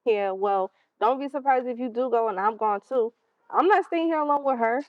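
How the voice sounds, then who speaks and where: Sulky blunt american teen girl woman acting, defiant, highish voice, kinda dull recording. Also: moderate pace, dry recording, lightly acted. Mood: resentful, guarded. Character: defiant, sullen 16-year-old daughter. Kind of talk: stage play acting.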